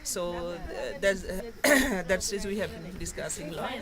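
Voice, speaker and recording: A woman's voice: fairly low pitch, animated, moderate pace. A woman from Bangladesh, speaking hesitantly with many fillers in an interview. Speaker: meeting participant. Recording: loud crowd chatter behind.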